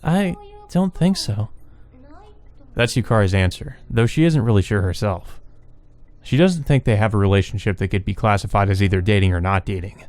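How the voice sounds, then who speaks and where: A woman's voice: very deep voice, a little fast, a little mellow. A woman reading visual novel narration aloud with casual commentary of her own. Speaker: let's player.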